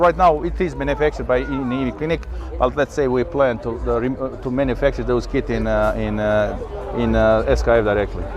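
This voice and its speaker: Male engineer, mid pitch, earnest, fragmented answers outdoors. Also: little pitch movement, brisk, few pauses, dull audio, crowd noise. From Croatia. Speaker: engineer, repair company guest. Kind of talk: casual interview answers. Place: outdoor event stand.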